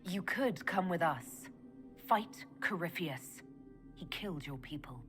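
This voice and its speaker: A woman playing a sharp, assertive elf mage leader in a video game, direct and challenging in manner. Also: on the low side, lively intonation, measured pace, soft background music. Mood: questioning. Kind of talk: video game dialogue acting.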